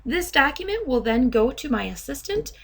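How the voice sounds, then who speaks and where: A woman software trainer narrating a step-by-step demo in a measured, instructional way. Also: high voice, very animated, vocal fry.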